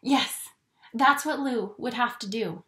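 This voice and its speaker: A woman in her twenties reading a children's story aloud in an expressive, theatrical way. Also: fairly high pitch, takes it slowly, noisy background. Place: small indoor home room. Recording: echoey small room.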